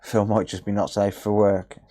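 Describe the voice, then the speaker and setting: A man's voice: on the low side, slow, mellow. A man chatting casually on a live stream while repairing a console, sarcastic and swearing often as he answers his chat.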